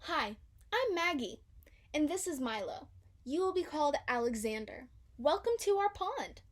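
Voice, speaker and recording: A woman's voice: high-pitched, big pitch swings, moderate pace, pitched above the performer's own voice. A woman voicing an eager, bossy little girl who calls out emphatically in a children's storybook. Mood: pleased. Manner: emphatic, calling out. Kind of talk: children's storybook read-aloud.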